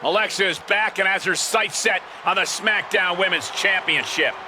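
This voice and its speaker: A man's voice: sits high, moderate pace, background noise, close to an everyday voice. A man playing an emphatic play-by-play wrestling announcer in a video game.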